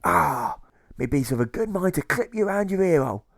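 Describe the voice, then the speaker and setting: A man voicing a gruff, volatile old sailor turned jailer in an audiobook, with a stage pirate accent and blustering, exclamatory delivery. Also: fairly high pitch, huge pitch swings, moderate pace, dry recording, clean studio sound, voice pushed up, full character voice. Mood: menacing.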